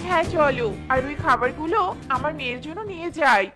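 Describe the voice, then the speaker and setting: A woman voicing a kindly, generous mother bird in a children's fairy tale cartoon, speaking in a gentle, coaxing way. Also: high voice, brisk, faint music behind. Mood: eager, kindly.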